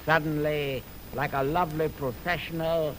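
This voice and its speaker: A man giving a lofty, dramatic music introduction with deliberate phrasing, in the style of a 1920s radio commentator. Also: a little high, fairly even intonation. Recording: noisy 1929 radio aircheck from optical film. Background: clear background noise.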